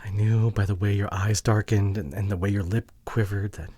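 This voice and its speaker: Man acting a husky, regretful, wounded boyfriend. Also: fairly low pitch, fairly even intonation, no room sound. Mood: remorseful, subdued. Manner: halting, emotional, often dropping to hushed near-whispers. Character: regretful, wounded boyfriend pleading with his partner. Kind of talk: ASMR roleplay audio drama.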